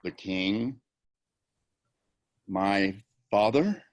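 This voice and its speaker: A brooding, grieving young prince, acted by an amateur male reader, with a lowish voice and a very unhurried pace. The verse is deliberate and theatrical, in the manner of a Shakespeare stage reading. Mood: astonished, urgent. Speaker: amateur volunteer actor. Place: indoors, on a video call. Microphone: video call.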